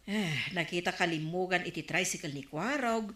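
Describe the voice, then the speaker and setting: A woman's voice: on the low side, normal speed, a bit bright, natural voice. A woman playing a blunt, chatty village gossip in an Ilocano radio comedy drama, with an Ilocano Filipino accent. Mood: matter-of-fact. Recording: roomy, echoing studio sound.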